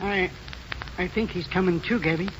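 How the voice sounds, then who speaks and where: A man's voice: sits high, moderate pace, a bit bright, soft background music. An actor playing a fretful, folksy old American gas station man in a 1940s radio drama. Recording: muffled, very noisy old radio recording.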